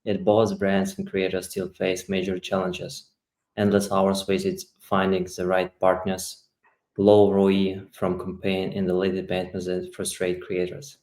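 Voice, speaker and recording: Brief: male podcast host, deep and monotone, roomy echo. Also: unhurried. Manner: reads the scripted intro evenly, with small slips. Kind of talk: reading an intro, then interviewing.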